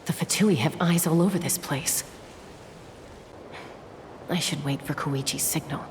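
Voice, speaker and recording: Low-voiced, confident young female scout. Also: a little slow, background noise. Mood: focused. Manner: cool, matter-of-fact, tactical. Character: confident, capable young tribeswoman scout, protective older sister. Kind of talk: video game voice acting. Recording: game music behind the voice.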